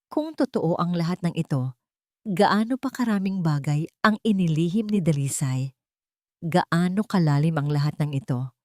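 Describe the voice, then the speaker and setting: A woman's voice: on the low side, expressive, moderate pace, a little mellow, no echo, clean studio sound. A Filipino woman narrating a scripted romantic drama as a storyteller.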